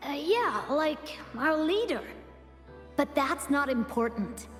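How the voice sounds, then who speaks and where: An excitable high-pitched young girl. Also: takes it slowly, heavily acted. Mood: friendly, eager. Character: excitable friendly young girl, bubbly little gang leader. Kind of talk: anime-style video game voice acting. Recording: long reverb tail, music underneath.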